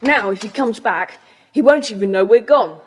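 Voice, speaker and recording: A woman's voice: on the high side, normal speed, very noisy, natural voice. A woman playing a resolute, take-charge schoolboy in a children's audio adventure play. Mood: decisive. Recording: long hall-like reverb.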